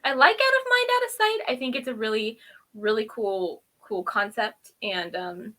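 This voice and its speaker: A woman's voice: a little high, lively intonation, normal speed, a bit bright. A woman co-hosting a casual review, chatting informally with a friend in a giggly, rambling way. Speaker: co-host of a TV episode review.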